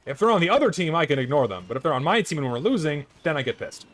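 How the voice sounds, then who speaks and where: A hoarse, tired young man chatting casually on a live stream, rambling and blunt with plenty of swearing. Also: fairly high pitch, very animated, racing, a little hiss or hum.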